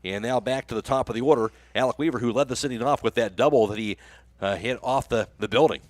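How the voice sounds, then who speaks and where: A man's voice: medium pitch, fast, dry recording. A man doing live play-by-play baseball commentary for a radio broadcast. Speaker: play-by-play announcer.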